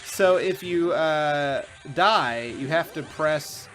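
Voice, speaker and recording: A man's voice: on the high side, slow, fairly bright tone. A man co-hosting a game show, giving casual, informal and sweary commentary as he plays. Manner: informal, profane. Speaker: video game show co-host and player. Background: game music.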